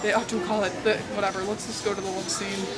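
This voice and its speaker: A woman's voice: medium pitch, little pitch movement, fast, loud music behind the voice. A woman in her twenties giving a playful, theatrical dramatic reading of a romance novel, with amused, mocking asides. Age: 20s. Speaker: amateur vlogger reading aloud. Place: indoors among book aisles of a store or library. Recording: busy public space with loud crowd murmur.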